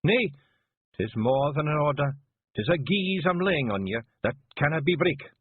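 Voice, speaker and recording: A man voicing a tiny, fierce fairy clan matriarch with a broad Scottish accent and a sharp, commanding delivery. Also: high-pitched, expressive, unhurried, slight background noise, pitched above the performer's own voice. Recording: phone-like narrow band.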